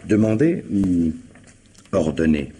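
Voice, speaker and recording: An actor playing a dry, probing police commissioner in a French radio mystery, insistent and insinuating. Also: male voice, low-pitched, lively intonation, takes it slowly, mellow, slight background noise. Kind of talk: 1950s-style French radio mystery acting.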